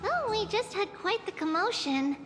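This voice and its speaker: A woman playing a serene, gently composed little girl with an old soul in a video game. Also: extremely high pitch, big pitch swings, normal speed. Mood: serene, inviting. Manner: speaks of herself as "we", gently composed. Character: serene little girl, once a grown woman, now divine child. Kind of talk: scripted game voice acting. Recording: loud background music.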